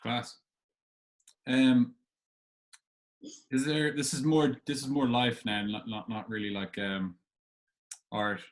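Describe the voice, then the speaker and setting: A man's voice: medium pitch, little pitch movement, takes it slowly, pauses often. A man hosting a podcast, interviewing casually in a hesitant, informal way. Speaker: podcast host.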